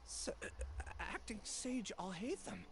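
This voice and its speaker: An actor playing a meek, guilty researcher in a video game, with a nervous, hesitant and apologetic delivery. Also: male voice, sits high, big pitch swings, unhurried, noisy background, exaggerated voice. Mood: panicked, pleading.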